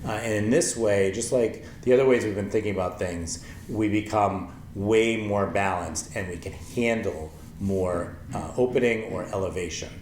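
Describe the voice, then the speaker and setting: A man in his forties with a low voice, teaching a yoga lesson slowly and gently with many pauses and ums. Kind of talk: calm spoken yoga lesson with chanting. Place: small wooden-floored room with paper screen, indoors. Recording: echoey room, faint ambient noise.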